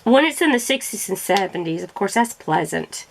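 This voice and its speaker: A woman's voice: medium pitch, animated, moderate pace, vocal fry, slight background noise, poor audio. A woman in casual, rambling chat as a crafting vlogger, often correcting herself, her throat irritated by allergies. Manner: rambling, chatty, self-correcting.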